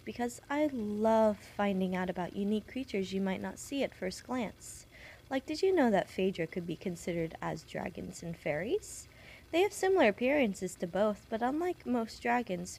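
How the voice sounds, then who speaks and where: Casting: woman as young witch nurse assistant for audio roleplay, kind, chatty, apologetic, mid-range voice with noticeable vocal fry. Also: mid-range voice. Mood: chatty, enthusiastic. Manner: gentle, polite, apologetic, slightly stammering. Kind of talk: audio roleplay addressed to the listener.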